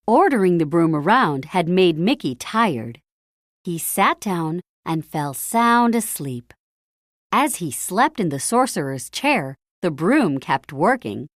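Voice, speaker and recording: Animated, unhurried woman storyteller. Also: lowish voice, no echo, clean studio sound. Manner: deliberate, dramatic storytelling with emphatic phrasing. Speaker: storybook narrator. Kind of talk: expressive children's read-aloud narration.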